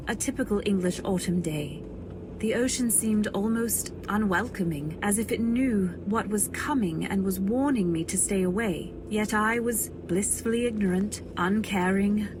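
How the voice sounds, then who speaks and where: A woman playing a dreamy, naive young newlywed, narrating in measured phrases in an old-time radio drama style. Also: medium pitch, noisy background, natural voice. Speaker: narrator and lead. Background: faint music.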